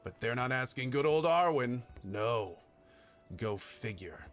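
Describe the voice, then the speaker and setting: An actor playing a jaded veteran scavenger in a video game, cynical, blunt and grumbling. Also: male voice, mid-range voice, expressive, measured pace, soft background music, natural voice. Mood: bitter, grumbling. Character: sour, jaded veteran badlands scavenger, gruff older man. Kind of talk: video game cutscene acting.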